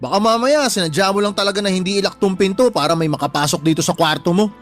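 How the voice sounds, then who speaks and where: A man's voice: high-pitched, quick pace, faint music behind, lightly acted. A man playing a drunk, defiant young son of the household in a radio drama, sneering, insulting and blaming others. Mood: sneering, irritated. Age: young adult. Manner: sneering, insulting, blame-shifting. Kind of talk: Tagalog radio drama acting.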